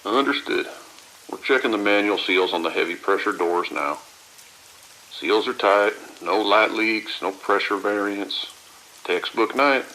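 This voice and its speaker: A man's voice: on the low side, unhurried, pushed and bright, poor audio. A man playing a restless, bored security patrol officer giving clipped, dry radio reports in an audio drama. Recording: faint hiss and rain-like noise.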